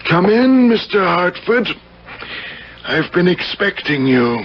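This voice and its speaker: An actor playing a coldly menacing, vengeful widower with deliberate, theatrical delivery in a 1970s radio drama. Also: male voice, high-pitched, sing-song, full character voice. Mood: coolly sinister. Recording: dull old radio recording, faint noise.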